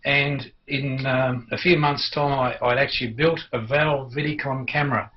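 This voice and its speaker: A man's voice: medium pitch, fairly even intonation, normal speed. Older Australian man, dull lo-fi sound. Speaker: amateur television enthusiast recounting the past. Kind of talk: unscripted personal reminiscence.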